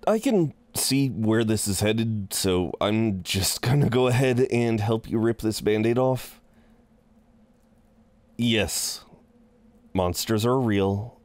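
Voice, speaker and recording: Man playing a slow, low-voiced seductive incubus. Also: gravelly, darkish tone, no echo, exaggerated voice. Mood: gentle, reassuring. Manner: unhurried, halting, teasing, soothing. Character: amused, reassuring, seductive male incubus innkeeper. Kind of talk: intimate roleplay audio drama.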